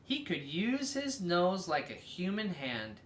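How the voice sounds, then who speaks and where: A person reading a children's story aloud as host, in a theatrical, drawn-out way with emphatic stresses. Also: medium pitch, big pitch swings, reverberant, a little hiss or hum.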